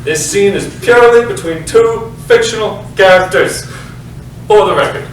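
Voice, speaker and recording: A man's voice: high voice, normal speed, natural voice. A man playing a pompous, self-important playwright with a grandiose, declamatory delivery, in a comic stage reading. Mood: defensive, boastful. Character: pompous self-important male playwright, bitter about his ex-wife. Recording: echoey hall from far off, noisy.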